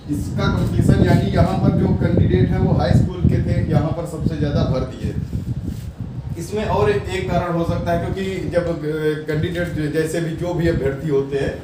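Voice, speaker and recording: Man explaining exam statistics, slightly high voice, far from the mic, loud hiss. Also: steady tone, normal speed. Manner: reads out numbers digit by digit, reassuring and repetitive. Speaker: exam coaching YouTuber. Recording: echoey distant mic with loud noise.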